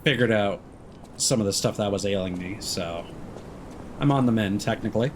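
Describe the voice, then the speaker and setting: A man's voice: on the low side, moderate pace, slight background noise. A man livestreaming a video game, chatting informally with viewers in a laid-back, friendly way. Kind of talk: casual let's play commentary, chatting with viewers.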